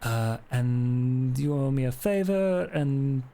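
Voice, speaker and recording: A man acts out a shady male vampire wheeler-dealer for a tabletop game. The voice is gravelly and mellow. He is eager to haggle and stumbles hesitantly through his offers. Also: mid-range voice, expressive, slow pace. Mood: eager, bargaining.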